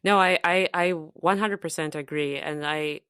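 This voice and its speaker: A woman from Zambia whose accent is softened by years in the US and UK, co-hosting a podcast in casual, reflective chat with frequent fillers and restarts. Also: low voice, fairly even intonation, unhurried, clear, resonant tone, no echo, clean studio sound. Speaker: podcast co-host.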